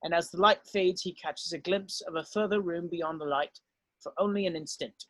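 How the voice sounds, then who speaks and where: A man's voice: on the high side, moderate pace, no room sound, rough recording. A man narrating, reading screenplay stage directions aloud at a script table read.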